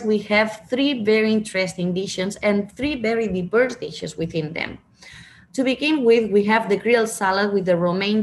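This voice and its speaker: A woman in her thirties speaks English with a Spanish accent at a middle pitch. She talks over a video call from a room at home, explaining things step by step and sometimes restarting a phrase. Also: a little hiss or hum. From Catalonia, Spain. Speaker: winery representative. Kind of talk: wine presentation to diners.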